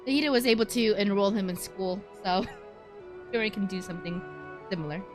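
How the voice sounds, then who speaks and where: A woman's voice: medium pitch, brisk. A woman giving casual live game commentary, musing aloud about the story as she plays. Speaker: streamer playing a game live. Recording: game music behind. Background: game music.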